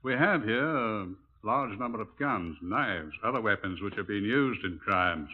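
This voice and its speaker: A man's voice: fairly low pitch, expressive, a little slow. A man playing a formal British chief inspector who explains things precisely, in 1950s radio drama style. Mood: formal, instructive. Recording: muffled narrowband vintage radio recording.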